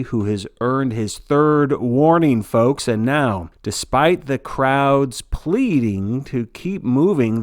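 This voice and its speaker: A man playing an earnest, folksy sports broadcaster, giving scripted play-by-play with a dramatic announcer delivery and suspenseful pauses. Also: mid-range voice, dry recording, clean studio sound, natural voice. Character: earnest, folksy male sports broadcaster narrating a grim contest.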